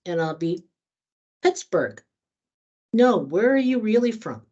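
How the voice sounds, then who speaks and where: A woman's voice: medium pitch, very animated, slow pace, darkish tone, muffled recording. A woman trainer answering questions in a conversational workshop, candid and thoughtful, speaking at length. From United States.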